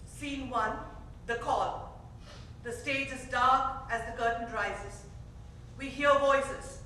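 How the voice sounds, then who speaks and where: A woman's voice: high-pitched, fairly even intonation, slow pace, pushed and bright, slight background noise. A woman reading scripted stage directions as narrator at a staged reading. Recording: echoey theater, distant mic.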